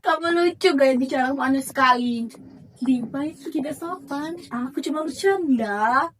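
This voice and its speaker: A woman's voice: high voice, normal speed, close to an everyday voice. A young woman playing a schoolgirl who teases and mocks a new classmate in a student drama. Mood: teasing. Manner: mocking, teasing.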